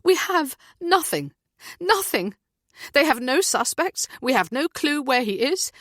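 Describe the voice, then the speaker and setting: A woman's voice: high-pitched, very animated, unhurried, fairly bright tone, no echo, voice pushed up, exaggerated voice. A woman voicing a panicking mother who is close to screaming, in an audiobook. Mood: panicked. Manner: near-screaming, desperate. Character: panicking mother, frantic and shrill.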